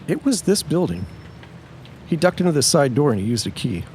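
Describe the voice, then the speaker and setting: An actor playing a steady young workingman sleuth in a period audio drama, with clipped, matter-of-fact speech. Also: a little high, fairly quick, slight background noise. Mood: alert, guarded.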